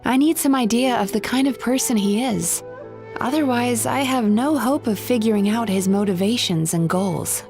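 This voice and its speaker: A woman's voice: a little high, over music, natural voice. A woman voicing a thoughtful, analytical young woman psychologist in a video game. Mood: pensive. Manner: measured, pensive.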